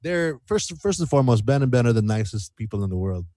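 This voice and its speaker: A man chatting casually as a singer-songwriter guest in an interview, animated and rambling, repeating phrases for emphasis. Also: medium pitch, fast, no room sound, clean studio sound. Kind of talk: casual radio interview chat.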